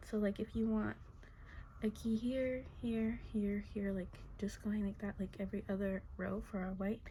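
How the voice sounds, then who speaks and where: A woman teaching crochet step by step, speaking softly in a breathy, half-whispered voice as she is losing her voice, counting stitches aloud and checking in with "okay?". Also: medium pitch, a little hiss or hum, low-quality recording. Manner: soft-spoken, counts stitches aloud, many fillers like "like" and "okay?". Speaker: crochet tutorial maker. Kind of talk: casual step-by-step craft tutorial.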